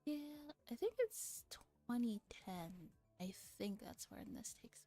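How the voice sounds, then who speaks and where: A woman streamer reading a visual novel aloud in a soft, relaxed ASMR style, sometimes slipping into a whisper, with casual chat. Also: a little high, slow pace. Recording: music playing behind the voice. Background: music.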